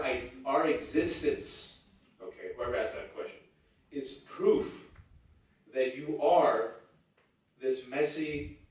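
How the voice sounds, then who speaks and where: A man's voice: mid-range voice, darkish tone. A man from California whose first language was Urdu, giving a humorous, self-deprecating personal speech at a campus event. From California, United States. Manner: joking, self-deprecating storyteller. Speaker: guest speaker at a campus event. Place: large lecture hall. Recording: echoey lecture hall, distant mic.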